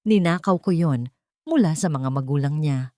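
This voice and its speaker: A woman's voice: sits low, expressive, normal speed, dark tone, no echo, clean studio sound. A woman voicing a cruel, scornful rich matriarch with a haughty, hateful manner in a radio drama. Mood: venomous, confessing. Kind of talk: Tagalog radio drama acting.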